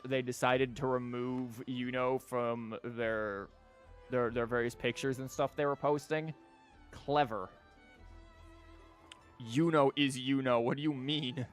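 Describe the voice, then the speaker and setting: A man is streaming a game with music playing faintly behind him. He talks casually in a medium-pitched voice, rambling along with wry, sarcastic commentary. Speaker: livestreamer. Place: live stream from an indoor setup.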